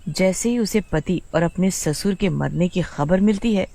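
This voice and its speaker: A woman's voice: fairly low pitch, measured pace, gravelly, dark tone. A woman with an Indian accent reading scripted, dramatic story narration.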